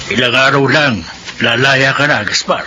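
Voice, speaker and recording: An actor playing a sympathetic, encouraging Filipino prisoner in a radio drama. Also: male voice, medium pitch, moderate pace, over loud music, close to an everyday voice. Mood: teasing, curious. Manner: expressive, encouraging. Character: chatty, sympathetic male cellmate awaiting parole. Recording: dull lo-fi radio drama, faint noise.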